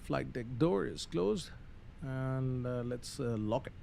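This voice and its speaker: A man's voice: mid-range voice, expressive, takes it slowly, creaky, warm tone. A man giving casual live-stream commentary on a flight simulator, muttering through procedures hesitantly with some radio phraseology. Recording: faint background noise.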